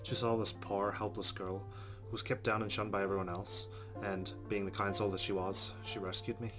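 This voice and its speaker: A man reads a game's narration aloud in a low, level voice, subdued and flat, with the odd sworn aside. Clear guitar music plays behind him. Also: moderate pace. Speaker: let's play host.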